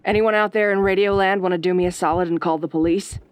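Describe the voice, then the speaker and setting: A woman acting as a sardonic late-night radio DJ in a horror audio roleplay, soft-spoken and velvety with a dry, wry delivery. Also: on the low side, fairly even intonation, fast talker, clean tone, dry recording, lightly acted. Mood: uneasy.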